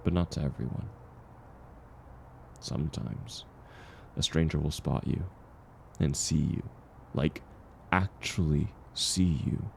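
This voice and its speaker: A man acting a kind, very low-voiced gentle stranger. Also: vocal fry, slight background noise, full character voice. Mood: wistful, reflective. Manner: soft-spoken, reassuring, unhurried. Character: kind, gentle man, once homeless, sharing dinner with a stranger. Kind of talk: intimate audio roleplay acting, speaking to the listener.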